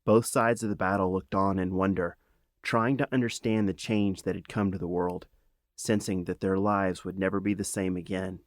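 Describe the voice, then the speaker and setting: A man reading a children's fantasy audiobook as a dramatic, earnest storyteller with measured phrasing. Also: low voice, fairly even intonation, no room sound, clean studio sound. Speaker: author reading own audiobook.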